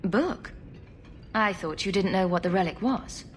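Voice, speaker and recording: A woman playing a calm, diplomatic adventurer heroine in a video game, level and inquiring in manner. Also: mid-range voice, measured pace, a little hiss or hum, close to an everyday voice. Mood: calm, questioning.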